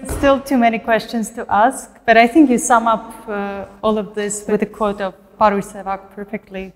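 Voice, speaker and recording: A woman's voice: medium pitch, flat delivery, moderate pace, long reverb tail. A woman interviewer thanking her guest and summing up the conversation. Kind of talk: interview questions.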